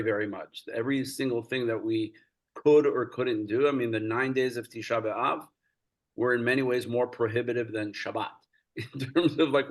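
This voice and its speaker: A man with a gravelly edge to his voice speaks in an earnest, lecturing way, his pitch sitting in an ordinary middle range. He slips easily into animated storytelling as he goes. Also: poor audio. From United States. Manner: earnest, storytelling, gets animated and laughs while recounting. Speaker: rabbi, guest speaker. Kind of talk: lecture-style talk.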